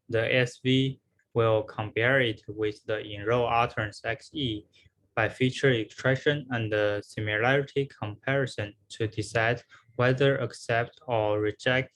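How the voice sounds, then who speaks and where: A man delivers a scripted research presentation in a medium-pitched voice. He explains technical material step by step, with a slightly halting delivery. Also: fairly bright tone, rough recording. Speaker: researcher presenting a conference paper.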